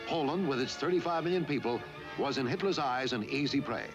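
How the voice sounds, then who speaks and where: A man reading scripted historical newsreel narration in a measured, formal delivery. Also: mid-range voice, dull audio, over music. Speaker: documentary narrator.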